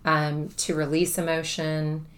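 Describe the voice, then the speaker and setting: A woman's voice: low voice, completely flat delivery, a little slow. A woman, a guest, chatting casually about spiritual practice with a voice that carries, filling her thoughts with ums and restarts. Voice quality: projecting voice. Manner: chatty, thinks aloud with many fillers. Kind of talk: casual conversation.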